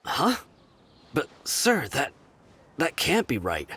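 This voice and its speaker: An actor playing a deferential, doubtful junior soldier in a video game, speaking hesitantly. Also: male voice, on the high side, huge pitch swings, takes it slowly, pushed and bright, slightly rough, exaggerated voice. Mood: disbelieving.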